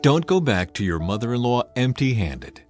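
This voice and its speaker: A man's voice: mid-range voice, very animated, moderate pace, faint music behind, natural voice. A man voicing a loyal, humble, obedient young widow who speaks plainly and respectfully in a Bible story. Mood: humble, earnest.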